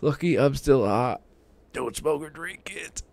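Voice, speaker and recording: A man's voice: mid-range voice, lively intonation, darkish tone. A man in his thirties co-hosting a livestream from home, bantering and teasing in a joking way. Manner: joking, teasing, quick laughs. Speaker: co-host of a history reading stream. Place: room at home.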